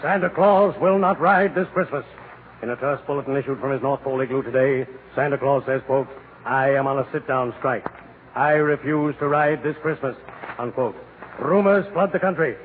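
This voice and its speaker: An actor playing a solemn, urgent news bulletin reader in a 1940s radio parody. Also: male voice, medium pitch, normal speed, lightly acted. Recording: tinny 1940s radio recording with hiss.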